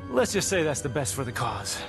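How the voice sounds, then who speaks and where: A man's voice: medium pitch, very animated, a little fast, creaky. An actor playing a cocky, wisecracking veteran male demon hunter in a video game cutscene. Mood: sardonic. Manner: wisecracking, offhand. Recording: game score music behind.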